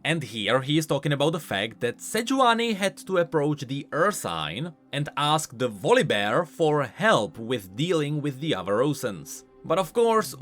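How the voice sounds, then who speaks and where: Animated male game lore commentator. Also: on the high side. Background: faint background music.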